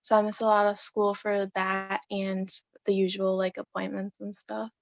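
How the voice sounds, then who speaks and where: Teen girl on a panel, lots of vocal fry, dry recording, soft and a bit hesitant. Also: medium pitch, monotone, moderate pace, dry recording, highs cut off. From Wisconsin. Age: teens. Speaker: panel guest. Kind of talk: casual panel talk.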